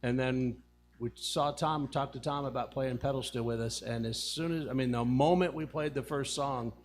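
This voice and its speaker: A man's voice: mid-range voice, normal speed, slight background noise. A man from a band chatting on a live show, telling a rambling story about his group. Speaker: band member. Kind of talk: casual chat on a live music show.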